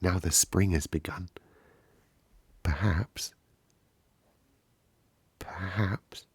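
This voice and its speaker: A man voicing a gentle young girl in a storybook, speaking slowly in a soft, soothing drone. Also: deep voice, steady tone, breathy edge, no room sound, exaggerated voice. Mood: soothing, dreamy. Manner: slow, hushed, droning.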